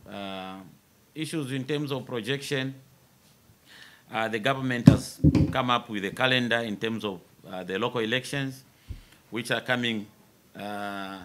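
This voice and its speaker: A Zambian military commander in his fifties speaks in a fairly low, forceful voice. He talks formally and deliberately, pausing often with fillers as he briefs the press. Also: slight background noise. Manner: measured, formal, many filler pauses. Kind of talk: press interview.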